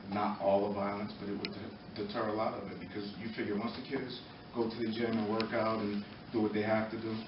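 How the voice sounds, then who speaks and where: Low, even-voiced American man in echoey room. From Norwalk, Connecticut, United States. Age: middle-aged. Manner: earnest, halting, pausing between short phrases. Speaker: former boxer speaking in support of a youth program. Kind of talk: impromptu public testimony at a committee meeting. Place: indoor meeting room, far from the mic. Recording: echoey, muffled, distant, noisy room recording.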